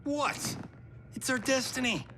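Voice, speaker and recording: An American actor voicing a cocky, boastful young movie-star fighter in a video game. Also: male voice, very high voice, slow pace, noisy background, heavily acted. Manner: boastful, brash. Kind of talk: video game cutscene acting.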